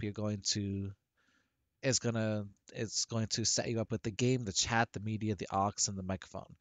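A man with a deep voice, talking through a casual software tutorial on a headset microphone and often restarting his sentences. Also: no room sound. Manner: rambling with frequent restarts and fillers. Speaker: tech tutorial creator.

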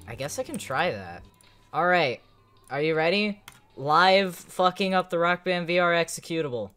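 A young man giving a casual, sweary live reaction as a game streamer, with an excitable, slangy manner. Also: on the high side, normal speed, clean tone. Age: young adult. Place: indoors, small home room.

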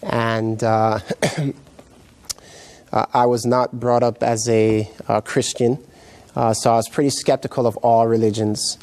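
A middle-aged man with a relaxed, dark-toned voice tells his story as a guest in a studio interview. He is reflective and halting, feeling his way through each phrase, at a medium male pitch. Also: smooth. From Jamaica, raised in New York. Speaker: guest pastor. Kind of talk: personal testimony in a TV interview. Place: indoor TV studio set, seated.

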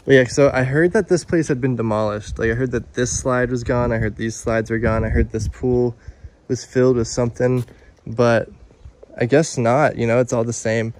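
A young man in his twenties vlogging by phone at arm's length outdoors, talking in an excited, chatty way full of "dude" and "like". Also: mid-range voice, normal speed. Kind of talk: casual vlog narration. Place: outdoors at an abandoned waterpark. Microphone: phone held at arm's length.

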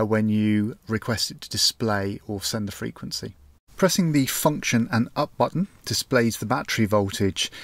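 Methodical male reviewer, lower voice, dry tabletop recording, explains step by step with uhs. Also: dry recording. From United Kingdom. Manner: methodical, explanatory, with frequent "uh" fillers. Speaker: radio equipment reviewer. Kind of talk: hands-on product demonstration. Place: indoors at a tabletop.

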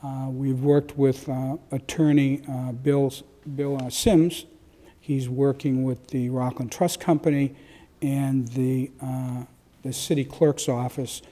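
A man in his sixties giving halting, semi-formal testimony to a council at a podium microphone. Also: medium pitch, level tone, mellow. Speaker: real estate broker addressing a council. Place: large council chamber. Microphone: gooseneck microphone on a podium. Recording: reverberant council chamber.

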